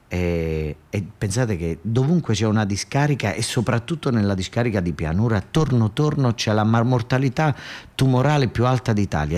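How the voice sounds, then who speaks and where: A man's voice: mid-range voice, darkish tone. A man with a southern Italian accent telling a story as a colloquial theatrical monologue, emphatic and repetitive, often putting questions to his listeners. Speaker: solo stage storyteller and actor.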